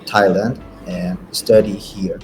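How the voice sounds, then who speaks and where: A young male student from Myanmar on a podcast, answering haltingly and searching for words. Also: sits low, steady tone, noisy background. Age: young adult. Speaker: first-year student.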